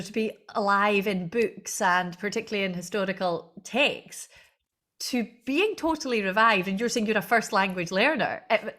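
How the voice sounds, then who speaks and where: A woman's voice: a little high, fairly bright tone. A woman hosting a conversational interview, speaking thoughtfully with frequent restarts.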